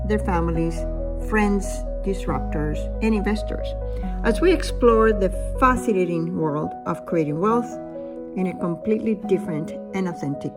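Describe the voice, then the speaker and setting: A woman hosting a podcast, welcoming her guest and asking questions in a gracious manner. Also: mid-range voice. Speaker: podcast host. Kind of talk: podcast intro and interviewing.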